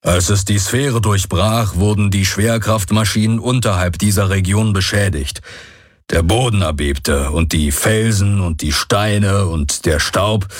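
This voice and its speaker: A man reading an audiobook voices a grave male alien who tells of past events in a low, slow, husky voice. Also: no echo, clean studio sound.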